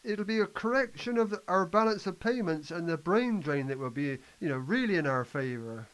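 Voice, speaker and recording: Male amateur actor as assured reform advocate, high voice, lecturing a doubter impatiently, stage-play delivery. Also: animated, fairly quick, fairly bright tone. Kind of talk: amateur scripted play reading.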